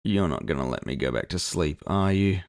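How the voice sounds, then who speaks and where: A man acts out a groggy, grumpy detective in a cyberpunk audio drama. His voice sits low and creaks with fry. He sounds weary and grudging, recorded very dry. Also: expressive, a little fast, darkish tone, no room sound. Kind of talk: audio fiction character dialogue.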